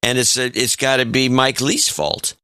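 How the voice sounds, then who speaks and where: A man's voice: medium pitch, steady tone, moderate pace, bright, forceful tone, clear, resonant tone, no room sound, studio quality. A man co-hosting a podcast in casual chat, blunt and opinionated, often breaking off and restarting. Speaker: podcast co-host.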